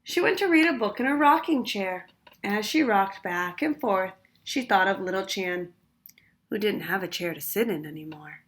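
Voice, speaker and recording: Bright, lively woman reading a storybook aloud. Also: medium pitch. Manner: pauses to ask listeners questions. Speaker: storytime reader. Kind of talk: read-aloud storytime narration.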